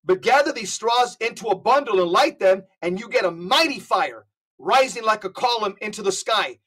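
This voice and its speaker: A man's voice: high voice, lively intonation, normal speed, pushed and bright, breathy edge, low-quality recording. A man speaking as a podcast guest, giving impassioned religious talk in an emphatic, exhortatory way and reading out quotations.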